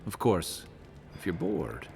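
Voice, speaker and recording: An actor playing a blunt, seasoned companion in a video game, talking in a dry, laid-back, teasing way. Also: male voice, low voice, wildly swooping pitch, takes it slowly, gravelly, a little mellow, soft background music, full character voice. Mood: wry, casual. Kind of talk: game dialogue.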